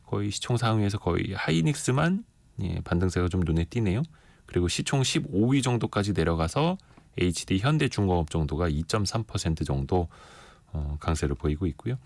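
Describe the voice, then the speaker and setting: A man's voice: low-pitched, expressive, vocal fry, darkish tone, no room sound. A man hosting a radio interview show, reading market figures and chatting with a guest in a conversational manner. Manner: conversational, quick back-and-forth. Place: radio studio.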